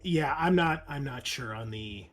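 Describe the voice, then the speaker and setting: A man's voice: mid-range voice, expressive, measured pace. A man acting as game master, explaining a tabletop roleplaying game in a hesitant, conversational way.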